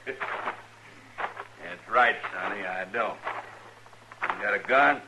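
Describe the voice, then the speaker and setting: A low male American voice plays a mocking frontier outlaw. He drawls very slowly with open menace in a radio western. The old recording carries clear noise. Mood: menacing, mocking. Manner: drawling, sneering, unhurried.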